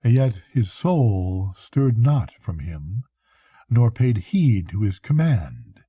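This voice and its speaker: An older man reading a bedtime story as a grandfather, in a solemn, measured way. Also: lowish voice, very animated, dark tone, husky, no room sound. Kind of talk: scripted bedtime story narration.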